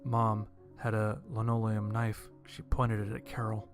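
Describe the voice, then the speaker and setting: A man's voice: lowish voice, level tone, unhurried, music in the background. A man reading a scripted story as its narrator.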